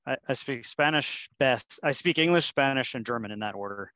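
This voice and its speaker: A man's voice: mid-range voice, expressive, brisk. A man chatting in an online meeting, friendly and encouraging. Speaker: meeting participant. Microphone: video call.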